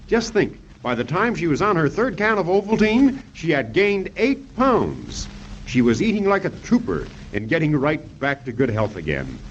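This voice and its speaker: Animated 1930s American radio announcer, a man. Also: a little high, moderate pace. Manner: addresses children, emphatic salesmanship. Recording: muffled, noisy 1930s radio recording.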